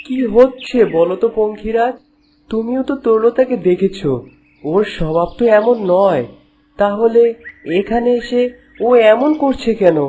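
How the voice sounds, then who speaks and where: A man's voice: sits high, unhurried, dark tone, a little hiss or hum. Man voicing an earnest young cartoon prince. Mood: puzzled, worried. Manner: polite, earnest. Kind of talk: cartoon voice acting.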